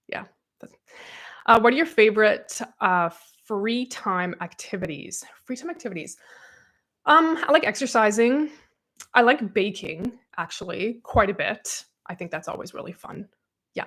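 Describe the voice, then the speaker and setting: A young adult woman co-hosts a casual chat and talks in a loose, rambling way. She fills her sentences with "like" and pokes fun at herself. Her voice sits in a middle pitch. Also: fairly bright tone. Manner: chatty, rambling, self-deprecating, many "like"s. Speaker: podcast co-host.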